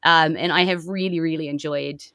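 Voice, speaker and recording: A woman's voice: low-pitched, fairly even intonation, normal speed, bright, forceful tone, clear, resonant tone, no room sound, studio quality. A woman with a New Zealand accent hosting a chatty live stream as game master, with a playful, silly manner. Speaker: game master and podcast host.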